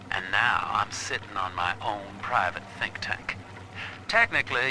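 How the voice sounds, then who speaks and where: A man's voice: fairly low pitch, noisy background, natural voice. An actor playing a slick, world-weary older businessman in a video game, with a folksy, familiar drawl. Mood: smug, reflective.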